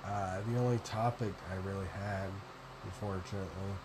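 A young man with a deep voice, rambling through a solo vlog, self-deprecating and swearing casually. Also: steady tone, normal speed, background noise. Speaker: podcaster and vlogger.

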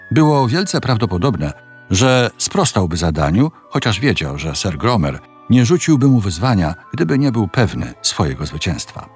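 A man narrating a scripted fairy tale in a measured, dramatic storytelling manner. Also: fairly low pitch.

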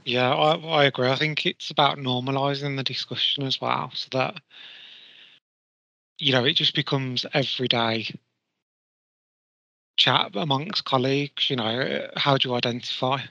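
A man's voice: medium pitch, steady tone, normal speed, creaky, long pauses, sharp tone, dry recording. A man speaking earnestly in a panel discussion, leaning on fillers. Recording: dry, dull lo-fi sound.

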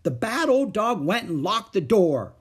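A man voicing a stubborn, tearful little girl who screams and wails, with a husky voice. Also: wildly swooping pitch, measured pace, heavily acted. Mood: wailing. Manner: high screaming and wailing.

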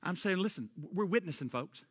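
A middle-aged man preaching a sermon, rising into loud emphatic refrains and dropping back to a quieter tone. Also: sits high, expressive, a little fast, slightly rough. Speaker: pastor. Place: church sanctuary. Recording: long church reverb.

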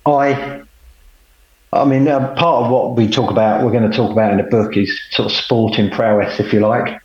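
A middle-aged man, speaking at an unhurried pace with a plain, self-deprecating manner. He is calling in from home, so the voice comes through a roomy space. The call audio is dull and muffled. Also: fairly low pitch, fairly even intonation. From England. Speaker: podcast guest. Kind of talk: casual conversation, telling his life story. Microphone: remote call.

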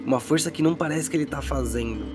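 A man's voice: on the high side, quick pace, noisy background. A Brazilian man narrating a tabletop game as game master in a casual, descriptive way, checking in with his players.

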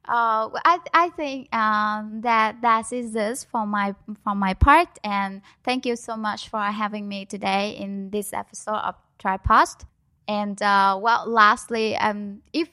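A young woman whose first language is Vietnamese, answering questions as a guest with plenty of pauses and restarts. Her voice sits in a middle range and sounds close and dry. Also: medium pitch, steady tone, bright, forceful tone, no room sound. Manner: hesitant, many fillers and restarts. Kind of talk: casual interview.

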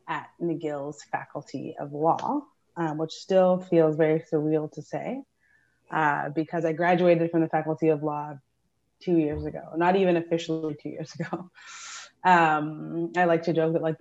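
Young adult woman interviewee, low voice, chatty, muffled recording. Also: darkish tone. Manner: upbeat, chatty, with "um" and "like". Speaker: interviewee, admissions dean. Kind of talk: casual interview. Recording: dull lo-fi recording.

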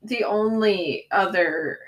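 A woman co-hosting a podcast who speaks slowly in a mid-pitched voice. She hesitates and restarts her sentences, pokes fun at herself, and laughs easily throughout the casual chat. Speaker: podcast co-host.

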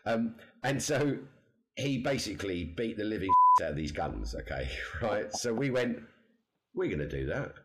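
A man's voice: on the low side, very animated, normal speed, sharp tone, a little hiss or hum. A man with an English accent chatting casually with friends, laughing often as he talks. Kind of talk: casual banter.

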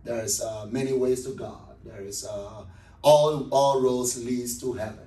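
A man's voice: medium pitch, fairly even intonation, unhurried, mellow. Ghanaian man in a roomy, distant recording. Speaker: pastor being interviewed. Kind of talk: conversational interview. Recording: roomy echo, distant microphone.

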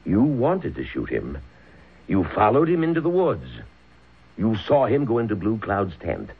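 A man's voice: on the low side, wildly swooping pitch, unhurried, vocal fry, breathy edge, exaggerated voice. An actor playing a stern, scheming rich father who orders his son about, in old-time radio drama style. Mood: cold, calculating. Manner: stern, commanding, impatient. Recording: muffled phone-like old radio recording.

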